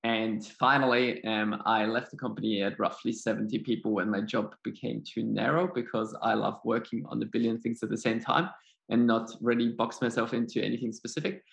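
A man's voice: lowish voice, fairly even intonation, brisk, gravelly, fairly bright tone. A man from Austria with a German accent, answering interview questions in an informal, modest, conversational way. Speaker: podcast guest, startup accelerator chief executive.

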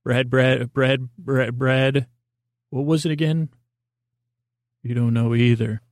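A man with a creaky voice hosting a bedtime podcast, meandering and repeating himself in a whimsical, improvised monologue that drifts into tangents. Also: medium pitch, fairly even intonation, takes it slowly, dry recording, clean studio sound. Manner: meandering, repetitive, trails off into tangents; whimsical, silly, talks to an imagined listener. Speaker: podcast host.

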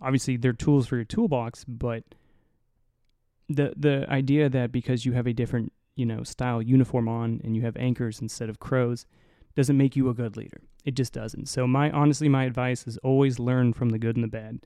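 A young man hosting a solo podcast in a low, mumbly voice, rambling and swearing casually, right up on the mic. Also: level tone, fairly quick, dark tone, no echo, studio quality. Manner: rambling, profane, self-mocking. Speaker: podcast host. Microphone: close to the mic.

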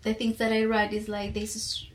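A woman's voice: a little high, completely flat delivery. A woman from Colombia with a Colombian accent, a native Spanish speaker, talking reflectively and searching for words as a guest. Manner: halting, searching for words in a second language. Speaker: podcast guest, poet and teacher. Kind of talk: casual reflective conversation.

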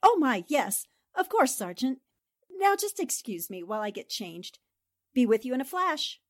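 Woman doing an old-time radio girl reporter, high lively voice, eager and polite, dry close sound. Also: moderate pace, no echo. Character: quick-witted woman newspaper reporter. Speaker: newspaper reporter. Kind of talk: 1940s radio drama acting.

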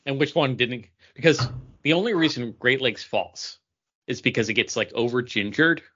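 An American man talks in a bright, forceful voice through slightly muffled, lo-fi audio. He is a podcast co-host chatting casually. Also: mid-range voice, normal speed, husky. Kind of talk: casual chat. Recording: dull lo-fi voice recording.

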